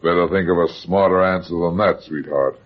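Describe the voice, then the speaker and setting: An actor playing a brusque, sardonic, wisecracking private detective in a vintage radio drama. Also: male voice, deep voice, fairly even intonation, a little fast. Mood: needling. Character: hard-boiled, wisecracking male private detective. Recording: muffled vintage radio recording.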